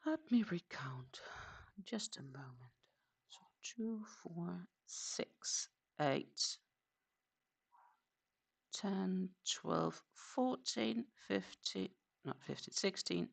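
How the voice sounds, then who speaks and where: A woman's voice: fairly low pitch, expressive, very slow, gravelly, pauses often, husky. A woman with a Danish accent chatting casually on a crochet live stream, counting her stitches aloud and answering viewers.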